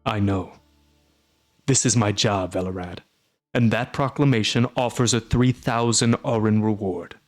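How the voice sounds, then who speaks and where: A man voicing a calm, terse monster hunter who speaks quietly in short, flat lines. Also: fairly low pitch, measured pace, faint music behind, close to an everyday voice.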